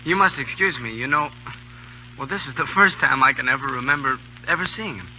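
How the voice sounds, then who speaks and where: An actor in an old radio drama playing an eager, polite, naive young lieutenant, earnest and deferential. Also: male voice, mid-range voice, fairly quick, a little hiss or hum, lightly acted. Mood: uncertain. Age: young adult. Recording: muffled, humming old radio broadcast.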